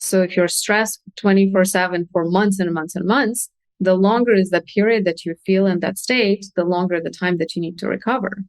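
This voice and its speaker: A woman's voice: medium pitch, normal speed, no echo. Female coach as interview guest, mid-range voice, candid and earnest, recorded dry. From Russia. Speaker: podcast guest, consultant and coach. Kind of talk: conversational interview explanation.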